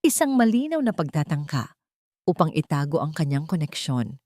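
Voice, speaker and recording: A woman narrating a scripted audio drama in a steady, dramatic storytelling manner. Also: deep voice, moderate pace, no echo, clean studio sound.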